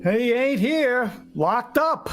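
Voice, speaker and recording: A man's voice: very high voice, lively intonation, takes it slowly, loud music behind the voice, heavily acted. A man playing a tired, home-loving working husband in a vintage-style radio drama, complaining in plain colloquial speech. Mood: exasperated.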